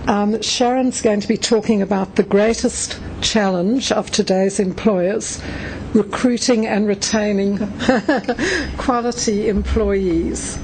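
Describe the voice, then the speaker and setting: A woman's voice: medium pitch, steady tone, slow, a little mellow. A woman chairing a conference session, introducing the next speaker with hesitant, enthusiastic remarks. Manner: hesitant, with many ums, enthusiastic. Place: conference room. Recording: noisy conference recording.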